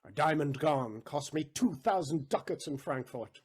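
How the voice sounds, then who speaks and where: An actor playing a bitter, vengeful moneylender and betrayed father in a Shakespearean audio play, with a husky voice and theatrical, emphatic delivery. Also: fairly high pitch, lively intonation, normal speed. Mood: anguished grief. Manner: theatrical, emphatic, swinging between hushed and loud.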